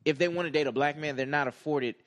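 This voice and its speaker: A man in his twenties in casual conversation, haltingly circling back over his phrases as he makes a point. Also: fairly high pitch, fairly bright tone. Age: 20s. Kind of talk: casual chat.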